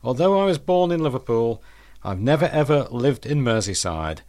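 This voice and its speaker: Chatty older radio DJ. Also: male voice, mid-range voice, big pitch swings, moderate pace, darkish tone. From northwest England. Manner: chatty, rambling, reminiscing. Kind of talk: radio show presenting. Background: music under the talk.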